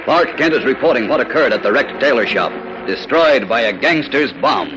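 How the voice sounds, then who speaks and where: A man's voice: mid-range voice, expressive, normal speed, background noise. A man narrating a 1940s radio serial as announcer, in a dramatic, declamatory style. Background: music.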